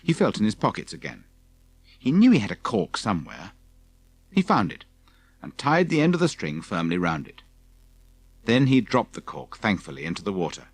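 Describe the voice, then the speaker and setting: Deep, lively male storyteller. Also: moderate pace, pauses often, slightly rough. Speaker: audiobook narrator. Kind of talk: scripted children's story narration.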